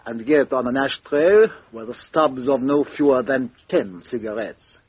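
An actor playing a fussy, precise middle-aged Belgian detective, in 1950s radio drama style. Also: male voice, medium pitch, animated, unhurried, husky, no echo. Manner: precise, theatrical, drops to a hush. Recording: dull old radio recording.

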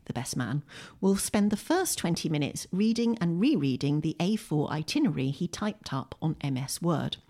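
A woman's voice: sits low, a little mellow. A British woman talking chattily and emphatically about books as a guest, sometimes reading aloud. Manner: enthusiastic, emphatic. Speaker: guest writer, poet. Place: a room in a home.

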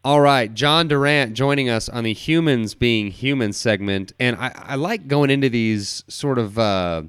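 A man's voice: medium pitch, measured pace, a bit bright, clean tone, no echo. A man hosting a podcast, chatting casually with a guest in a jokey, crudely humorous way. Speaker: podcast host. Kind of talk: casual interview chat.

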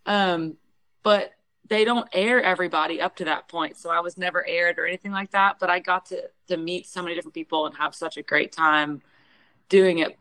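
A young woman, a singer, tells a story in a casual interview. Her voice sits a little low for a woman, and the sound is dry and close. She tells the tale with energy and acts out the other people's lines. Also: no echo, rough recording. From Houston, Texas. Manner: lively storyteller who acts out what others said. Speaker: guest singer.

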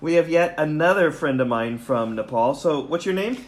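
Enthusiastic pastor hosting a reading. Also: male voice, fairly high pitch, moderate pace. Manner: lively, enthusiastic, with asides to listeners. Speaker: pastor and host. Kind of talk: casual chat, then Bible reading.

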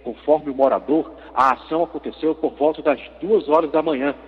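Raspy male journalist on phone-like line. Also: a little high, fairly even intonation, fairly quick. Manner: formal read news delivery. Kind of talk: news report. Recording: phone-like narrow band, reverberant.

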